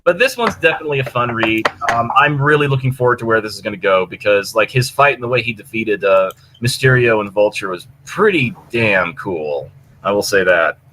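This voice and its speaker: A man with a bright, punchy voice talks as a podcast co-host. His delivery is casual and rambling, full of enthusiasm and loose swearing. There is some noise behind him. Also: lowish voice, animated, brisk, poor audio. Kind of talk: casual chat recommending fan fiction.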